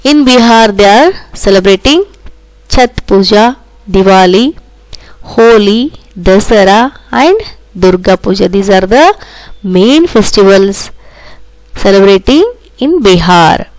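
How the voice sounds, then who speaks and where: A woman school teacher with a South Indian accent and Kannada as her first language gives a lesson to children. She speaks slowly, repeating key points in an instructive way. The recording is dry and close, with very little room sound. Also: mid-range voice, expressive, darkish tone, dry recording, poor audio. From Karnataka, India. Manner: repetitive, instructive, often addressing listeners directly with tag questions. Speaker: primary school social studies teacher.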